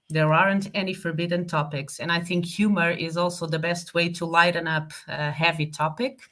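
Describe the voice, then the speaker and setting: Portuguese-born woman host, low voice, hesitant and thoughtful, video call. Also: fairly bright tone. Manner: hesitant, thoughtful, with many fillers. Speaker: discussion moderator. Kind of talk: online group discussion.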